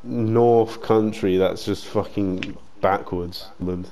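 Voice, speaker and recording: A man's voice: on the low side, steady tone, slow, a crowd in the background. A man in an online game voice chat, exasperated and swearing as he argues back. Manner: exasperated, swearing, laughing off insults. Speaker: online game player and recorder. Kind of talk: heated voice chat argument.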